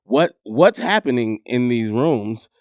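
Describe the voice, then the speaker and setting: A man's voice: mid-range voice, animated, takes it slowly, dry recording, studio quality. A man co-hosting a podcast, talking in a laid-back way, thinking aloud and swearing casually. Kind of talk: casual chat.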